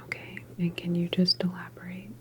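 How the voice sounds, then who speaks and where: A woman performing an ASMR medical roleplay as a calm government doctor, speaking in a deliberate, reassuring clinical manner. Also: fairly low pitch. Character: calm female government doctor examining a patient.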